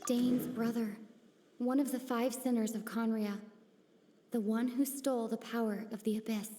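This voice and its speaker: A mellow, fairly high female voice over faint ambience, acted by a woman. She is a solemn, knowing game character who speaks gravely and portentously, as if reciting dark lore. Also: little pitch movement, moderate pace, lightly acted. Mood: solemn, accusing. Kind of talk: dramatic video game voice acting. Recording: faint ambient noise behind voice.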